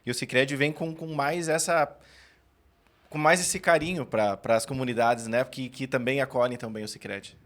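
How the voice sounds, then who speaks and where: A man from a small town, hosts the interview and talks in a friendly but halting way. He repeats his words often and keeps his pitch in a middle range. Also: steady tone, fairly bright tone. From Salvador das Missões, southern Brazil.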